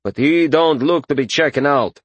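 A man's voice: a little high, normal speed, breathy edge, no echo, pitched above the performer's own voice. A man voicing a suspicious bearded mercenary leader in a fantasy audiobook, blunt, folksy and challenging. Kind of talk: audiobook fantasy character acting.

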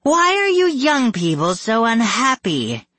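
Deadly, frail little villainess played by a man, audiobook. High-pitched, slow, drawn-out, falsely sweet. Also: wildly swooping pitch, sharp tone, dry recording, full character voice. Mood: mock-sweet. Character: sweetly smiling, deadly frail-looking woman villain, a game character.

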